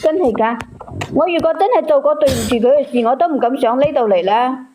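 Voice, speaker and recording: A woman's voice: high voice, quick pace. A woman calls in to a live chat. She argues defensively, insisting and repeating herself. There is faint clatter and noise on her line. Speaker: caller. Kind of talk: casual argumentative live call.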